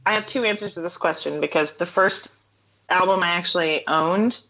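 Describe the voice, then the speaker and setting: A woman in her thirties with a low voice talks casually as an interview guest. She rambles warmly through fillers and laughs. The audio is dull and lo-fi, like a remote call. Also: normal speed. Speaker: interview guest, singer-songwriter.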